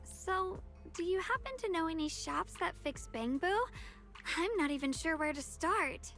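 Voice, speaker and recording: A woman's voice: extremely high pitch, normal speed, a bit bright, soft background music. An actress playing a cheerful, eager, friendly young woman in an anime-style game. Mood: curious, unsure.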